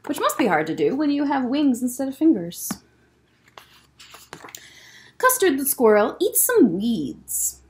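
Young female children's librarian, higher-pitched, very animated storytime read-aloud with chatty asides. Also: a little slow, long pauses. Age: young adult. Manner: expressive, child-directed storytelling. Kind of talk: picture book read-aloud with casual asides. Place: library room lined with bookshelves, indoors.

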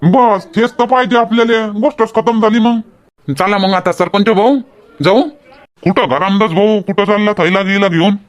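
High-voiced folksy village man , acted by a man. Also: steady tone, moderate pace, natural voice. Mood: bantering. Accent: Varhadi Marathi. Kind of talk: homemade comedy cartoon dialogue.

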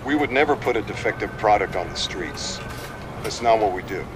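A man's voice: lowish voice, lively intonation, fairly quick, close to an everyday voice. Guy playing company pr man on tv, reassuring, a bit far from mic, noisy. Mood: defensive, reassuring. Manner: reassuring PR delivery. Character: smooth-talking, evasive male corporate spokesman.

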